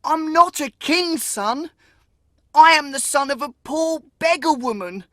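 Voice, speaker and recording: High-pitched sad little boy. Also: lively intonation, measured pace, fairly bright tone, dry recording, clean studio sound, heavily acted. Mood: distressed, humble. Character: sad, humble, frightened young boy from a fairy tale.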